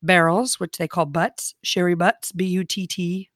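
A woman's voice: on the low side, steady tone, measured pace, no echo, clean studio sound. A woman co-hosting a podcast, explaining in a hesitant way with many fillers. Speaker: podcast co-host. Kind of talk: casual conversational chat.

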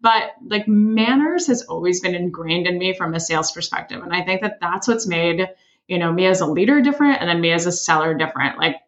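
A woman from Switzerland talks casually about sales and leadership, animated and quick to laugh. She acts out quoted lines with jumps in her pitch. Her recording sounds dull and lo-fi. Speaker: sales leader, podcast co-host. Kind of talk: casual panel chat.

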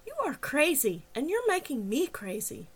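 A woman's voice: high voice, sing-song, moderate pace, pitched above the performer's own voice. A woman voicing an angry, stubborn young woman in an audiobook, speaking in an emphatic, protesting way. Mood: furious. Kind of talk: audiobook character dialogue.

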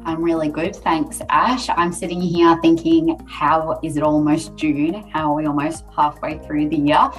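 A woman's voice: deep voice, level tone, moderate pace, few pauses, soft background music, low-quality recording. A woman working as a recruiter, giving conversational advice on a podcast.

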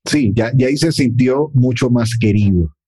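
A man speaks fluently in a relaxed chat, explaining details and correcting facts as he goes. His mid-range voice is husky and mellow. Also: medium pitch, moderate pace. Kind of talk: casual chat.

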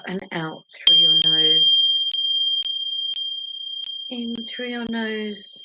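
A woman leading a guided sleep meditation in a soothing, gentle, hushed manner, pausing between short phrases. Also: mid-range voice, very noisy. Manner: soothing, hushed, gentle instruction with long pauses.

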